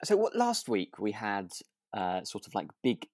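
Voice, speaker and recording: British guy on a podcast, deep voice, dry close sound, rambles hesitantly. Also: wildly swooping pitch, measured pace, darkish tone, no echo. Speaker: podcast co-host. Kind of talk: casual chat.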